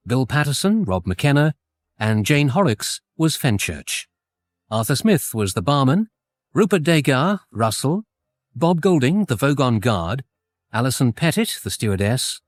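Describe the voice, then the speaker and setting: Casting: British male continuity announcer, wry scripted read, animated intonation, very dry studio. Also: mid-range voice, no echo. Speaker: announcer reading credits. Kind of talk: scripted, tongue-in-cheek radio announcement.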